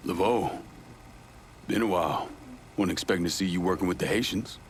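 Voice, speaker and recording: Man acting hard-edged vigilante, very deep, terse, determined, video game cutscene, faint vehicle noise behind. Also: brisk, lightly acted. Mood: grim, cool. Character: grim, determined vigilante out for revenge.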